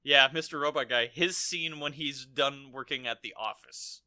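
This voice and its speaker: A man's voice: medium pitch, normal speed, bright, forceful tone, no echo, studio quality. An American man co-hosting a podcast, chatting casually about a film in a rambling, profane storytelling way. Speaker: podcast co-host. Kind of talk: casual film review chat.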